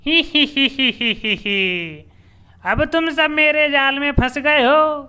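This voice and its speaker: Cartoon witch, acted by a male narrator: scheming, gloating menace, shrill high pitch, forceful delivery. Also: full character voice. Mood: menacing glee. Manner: gloating, drawn-out threats, cackling.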